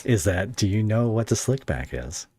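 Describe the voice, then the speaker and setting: A man with a low voice and a very dry, close sound hosts a relaxed interview. He talks in a rambling, conversational way, often feeling around for the right word. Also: moderate pace, breathy edge, dry recording. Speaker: podcast host and interviewer. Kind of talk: casual podcast interview.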